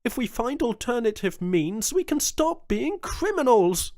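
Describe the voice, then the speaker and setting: A man's voice: medium pitch, steady tone, a little slow, dry recording, studio quality, close to an everyday voice. A man voicing a furious, commanding female colony governor who leads pirates. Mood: pleading. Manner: forceful, commanding.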